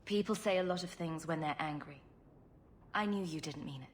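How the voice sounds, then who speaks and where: A woman's voice: sits low, moderate pace, big-room sound, a little hiss or hum, lightly acted. An actress playing a self-assured, wry female adventurer in a video game. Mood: cool, wry.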